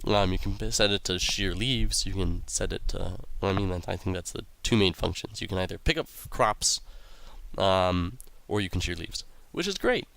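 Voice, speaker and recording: Raspy-voiced young man commentating a game. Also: sits low, expressive, normal speed, a bit bright. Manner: rambling, many ums and uhs, reads quest text aloud. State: raspy voice from illness. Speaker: let's play host.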